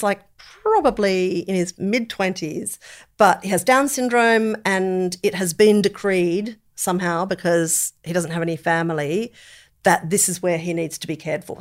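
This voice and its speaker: A middle-aged woman chatting casually as a podcast co-host, with an expressive, descriptive turn of phrase. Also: on the low side. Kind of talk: casual chat about films.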